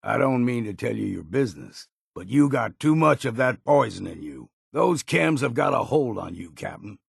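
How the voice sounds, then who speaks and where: Old game hunter, very gravelly, close dry sound, gruff, folksy, rustic drawl, world-weary. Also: male voice, mid-range voice, big pitch swings, moderate pace, no echo, full character voice. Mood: stern, disapproving. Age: older. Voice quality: gravelly, husky. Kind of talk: video game character voice lines.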